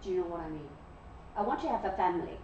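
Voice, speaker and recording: Animated woman lecturing in an echoey room. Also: fairly low pitch, very fast, a little hiss or hum. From Malaysia. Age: 40s. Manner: animated, keeps checking in with "okay?" and "yeah?". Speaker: guest lecturer. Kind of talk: interactive classroom lecture. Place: classroom. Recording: echoey classroom from far off, dull.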